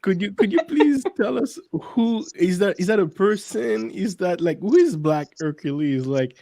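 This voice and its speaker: A man's voice: high-pitched, animated, normal speed, vocal fry, dark tone, no room sound, studio quality. A man on a live panel who jumps in curiously with questions. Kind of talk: casual chat.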